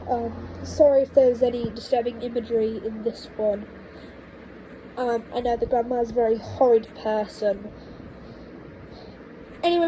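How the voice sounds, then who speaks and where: Slow, high-voiced woman reading a story. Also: dark tone. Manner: halting reading with repeated words. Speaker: amateur audiobook reader. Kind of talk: audiobook storytelling narration, informal asides. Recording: noisy low-quality home microphone.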